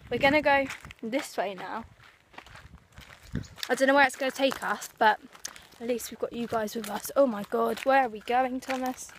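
A woman's voice: a little high, moderate pace. A teenage girl chatting casually into a phone held at arm's length outdoors, rambling with lots of ums and likes. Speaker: vlogger. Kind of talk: casual vlog chat. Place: outdoors at a sunny marina and woodland path. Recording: noisy outdoor phone recording.

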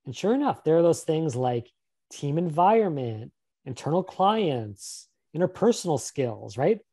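Man, career workshop presenter on a webcam, lively pitch, mellow tone, upbeat and encouraging. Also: unhurried. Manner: upbeat, encouraging, asks questions. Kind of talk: live webinar presentation. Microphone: webcam on a video call.

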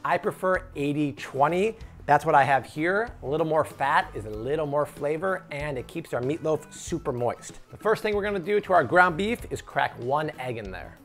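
A man's voice: fairly high pitch, animated, normal speed, fairly bright tone, faint music behind. A man in his thirties hosting an upbeat cooking tutorial in a home kitchen, playful and full of jokey asides. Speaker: cooking show host.

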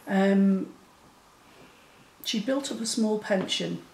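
Slow gravelly woman in an echoey room. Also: medium pitch, darkish tone. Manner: slow, hesitant, earnest. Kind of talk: speaking to camera. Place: small room indoors. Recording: echoey room, faint noise.

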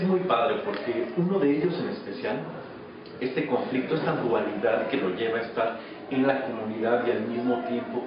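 A man in his thirties with a Mexican accent, an actor answering casually in an interview. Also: mid-range voice. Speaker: radio drama actor being interviewed. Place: small office. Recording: echoey, distant, muffled, noisy camera audio.

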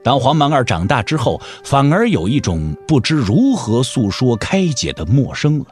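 A man narrating an audio drama with dramatic, expressive scripted storytelling. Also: mid-range voice, normal speed, soft background music.

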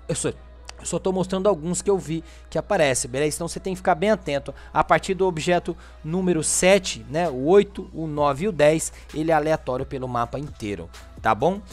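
Brazilian guy doing a chill video game walkthrough, kinda high voice, music going behind him. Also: a little fast. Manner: informal, frequent check-in tag questions. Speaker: gaming video host. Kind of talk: casual game tutorial commentary. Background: background music.

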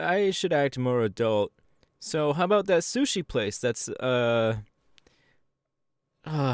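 A man's voice: fairly high pitch, dry recording, clean studio sound, heavily acted. A man playing a friendly, bashful young hero with a hesitant, playful manner in an ASMR audio roleplay.

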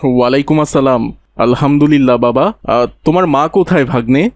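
A man's voice: mid-range voice, quick pace, dry recording, natural voice. A man voicing a troubled uncle in a cartoon, grave and evasive with his young nephew. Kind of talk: cartoon dialogue.

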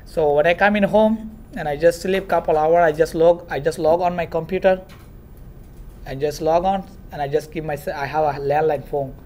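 A man's voice: on the high side, normal speed, warm tone. Earnest young man telling his story. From Myanmar. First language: Rohingya. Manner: earnest, storytelling with hand gestures. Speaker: guest speaker. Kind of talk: classroom talk. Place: classroom. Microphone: far camera.

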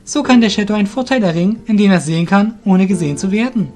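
A man voicing an anxious, alert young male adventurer in an audio drama, excitable and urgent. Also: high voice, quick pace, faint music behind, voice pushed up, close to an everyday voice. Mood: explaining, keen.

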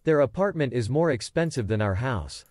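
A computer-generated male voice reads out short practice sentences. Its delivery is even and clipped. The sound is completely dry, with no room to it. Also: medium pitch, normal speed, no room sound. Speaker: app voice reading example sentences. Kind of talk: text-to-speech sentence reading.

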